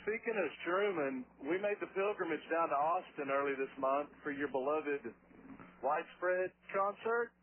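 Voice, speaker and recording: A male radio co-host jokes and teases, his voice pitched a little high. The audio is tinny and phone-like, with a faint hiss underneath. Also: moderate pace. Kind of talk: comic talk radio banter.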